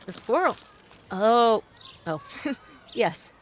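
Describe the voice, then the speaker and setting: A proper young mother in an old-time radio romance, played by an actress. Her voice is fairly high, gentle but guarded and hesitant, over faint background noise. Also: sing-song, extremely slow, heavily acted. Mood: hesitant, warm.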